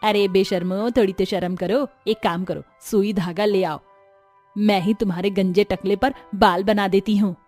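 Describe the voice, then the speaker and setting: A woman voicing a sharp-tongued older mother-in-law who scolds and taunts. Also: medium pitch, steady tone, normal speed, faint music behind, lightly acted. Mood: scolding.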